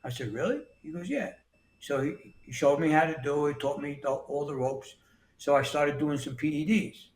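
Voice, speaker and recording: A man's voice: a little high, normal speed, echoey room, a little hiss or hum. A man in his sixties from New York City, talking as a podcast guest in a plain-spoken way that repeats words and restarts. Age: 60s. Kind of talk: casual interview talk.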